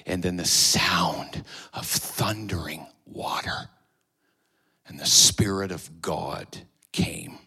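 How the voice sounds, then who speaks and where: Pastor, male, slow bright delivery, loud preaching voice that rises and falls. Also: deep voice. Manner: loud voice, preaching with swells and drops; sings hymn lines softly, repeats phrases. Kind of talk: sermon.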